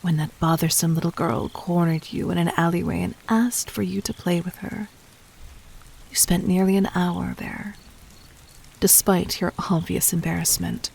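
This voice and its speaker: A woman playing a calculating, commanding demon queen in an audio roleplay, speaking formally and deliberately in a soft voice that often dips toward a whisper. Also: on the low side, steady tone, creaky, slightly rough, low-quality recording. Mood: measured, gentle. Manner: measured, regal, formal, deliberate. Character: calculating, commanding demon queen toying with her would-be assassin. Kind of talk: scripted audio roleplay addressed to the listener.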